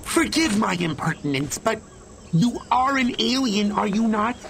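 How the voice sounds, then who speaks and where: A man's voice: high voice, animated, a little slow, vocal fry, fairly bright tone, a little hiss or hum, exaggerated voice. A man playing an eccentric human crackpot who worships aliens in a video game, formal, effusive and reverent. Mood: deferential.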